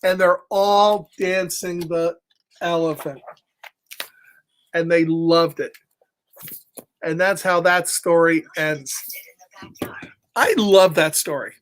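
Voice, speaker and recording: A middle-aged man reading aloud and telling casual stories to children in an encouraging, expressive, chatty way. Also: a little high, slow pace, long pauses, slight background noise, rough recording. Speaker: storytime reader for children. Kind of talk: animated read-aloud and casual personal storytelling.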